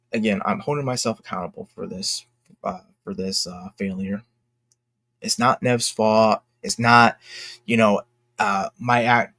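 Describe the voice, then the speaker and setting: A young man talking casually and candidly to his fans in a halting, reflective, earnest way, as an independent creator. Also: on the low side, a bit bright, no room sound. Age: young adult. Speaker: indie comic creator and vlogger.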